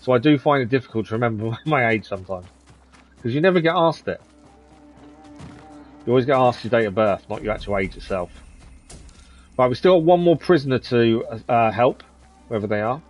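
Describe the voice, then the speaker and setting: Streamer in his 30s, mid-pitched, chatty, mutters at enemies while playing. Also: lively intonation, brisk, long pauses, dull audio, faint music behind. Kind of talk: live gameplay commentary.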